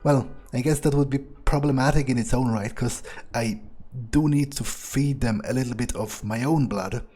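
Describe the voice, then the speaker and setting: A man's voice: mid-range voice, moderate pace, dark tone, slightly rough, lightly acted. A man playing a smug, power-hungry vampire in improvised roleplay, musing and gloating in a hesitant way. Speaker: gamer playing in character.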